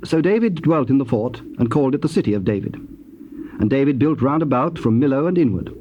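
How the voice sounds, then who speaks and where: A man with a British accent narrating scripture in a formal, measured way. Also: mid-range voice, animated. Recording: dull, faintly noisy old cassette.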